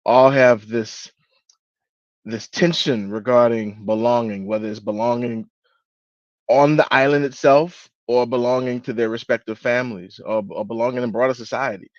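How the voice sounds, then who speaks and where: A man giving his thoughts as a book club panellist, reflective and halting, often restarting his words. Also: mid-range voice, steady tone, no echo. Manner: halting, repeats word beginnings. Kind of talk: reflective literary discussion. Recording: dull, muffled lo-fi audio.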